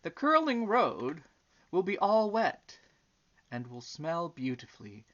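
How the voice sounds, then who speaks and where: A storybook reader voicing gentle, chatty trees, musing in a sing-song way. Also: medium pitch, big pitch swings, slow, muffled recording, voice pushed up. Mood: musing, content.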